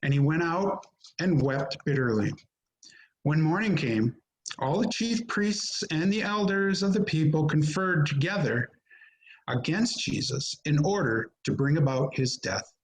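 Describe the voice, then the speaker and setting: Guy reading bible narration, kinda high voice for a man, solemn, measured short phrases, over video call. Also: animated, a little hiss or hum, low-quality recording. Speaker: narrator, amateur church reader. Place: remote recording on video call.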